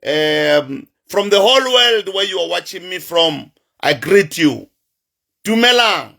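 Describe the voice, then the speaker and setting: South african guy w sesotho accent, healer doing a livestream, bright tense voice, preachy and repeats himself. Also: sits high, lively intonation, gravelly. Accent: South African, Sesotho-influenced. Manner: slow, emphatic, repetitive, preacher-like. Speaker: traditional healer addressing online followers. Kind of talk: livestream address to viewers.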